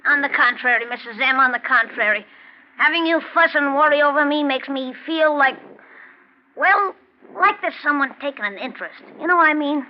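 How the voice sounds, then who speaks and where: A woman's voice: high voice, expressive, normal speed. A performer, a woman, playing a brash, friendly little male vaudeville acrobat with breezy slang in a 1940s radio drama. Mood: earnest. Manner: breezy, slangy showman patter. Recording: muffled, noisy 1940s radio recording.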